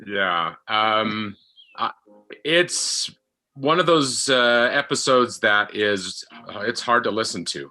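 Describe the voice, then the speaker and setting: A middle-aged man speaking as a guest actor in a reflective interview, halting and earnest, repeating himself for emphasis. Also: fairly low pitch, lively intonation, pushed and bright.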